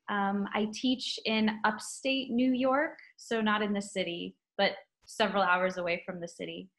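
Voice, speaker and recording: A woman's voice: mid-range voice, a bit bright. Young american woman on a video call from her kitchen, friendly and easygoing, says "sort of" a lot. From United States. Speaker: writer and professor. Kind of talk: casual conversation over video call. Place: kitchen table at home.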